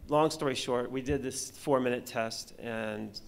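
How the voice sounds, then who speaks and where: A middle-aged man speaking on a conference panel as a film director, halting, with many fillers and restarts, into a table mic on stage. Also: medium pitch, gravelly. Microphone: table mic on stand.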